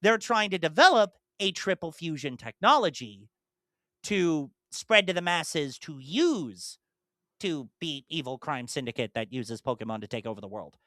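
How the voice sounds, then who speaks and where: A man co-hosting a casual podcast, rambling with lots of fillers and restarts, slipping into animated, mock-exasperated asides. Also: a little high, normal speed, dry recording, studio quality. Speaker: podcast co-host and streamer.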